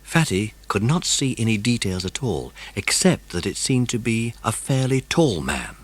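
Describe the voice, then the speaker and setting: A man narrating a children's mystery audiobook in a suspenseful, expressive way. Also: lowish voice, moderate pace, husky.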